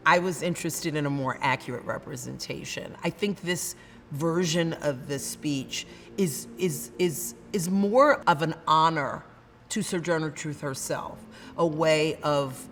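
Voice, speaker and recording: A woman's voice: deep voice, fairly bright tone, in a large hall, slight background noise. A woman literature professor with a Northern US accent, speaking earnestly and building her points through repeated phrases. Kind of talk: dramatic reading then reflective commentary.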